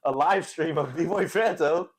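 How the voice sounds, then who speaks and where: A man's voice: a little high, a little slow, slightly rough. A man chatting casually, chiming in with short, agreeable remarks. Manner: agreeable, affirming. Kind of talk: casual chat, brief interjections.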